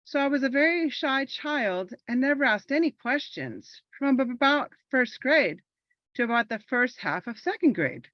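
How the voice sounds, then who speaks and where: A woman performs her own monologue with earnest, reflective storytelling. Her voice sits a little high, and the recording is dull and dry. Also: big pitch swings, normal speed, no room sound. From Los Angeles, California. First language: Spanish. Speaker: army veteran performing a monologue.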